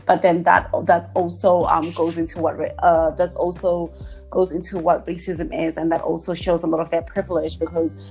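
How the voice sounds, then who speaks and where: A woman's voice: on the low side, level tone, normal speed, darkish tone, soft background music. A young woman from Zimbabwe co-hosting a podcast, talking reflectively and thinking aloud in casual chat. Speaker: podcast co-host.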